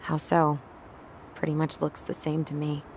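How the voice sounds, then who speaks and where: A woman's voice: sits low, completely flat delivery, moderate pace, a little hiss or hum, rough recording. An actress playing a dry, guarded, teasing young American woman in naturalistic video game dialogue. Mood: subdued, guarded. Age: young adult.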